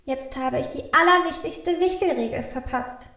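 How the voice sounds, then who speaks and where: A woman's voice: high voice, moderate pace, creaky, a bit bright, echoey room. A woman playing a small, fretful, guilty male Christmas elf who stammers in a children's radio play. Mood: flustered. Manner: fretful, stammering, repeating exclamations of dismay.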